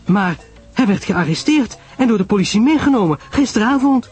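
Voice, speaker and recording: A man's voice: high-pitched, animated, normal speed, a little mellow, breathy edge, soft background music, pitched above the performer's own voice. A man voicing a shocked, eagerly curious woman who exclaims and questions in a radio detective story. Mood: protesting.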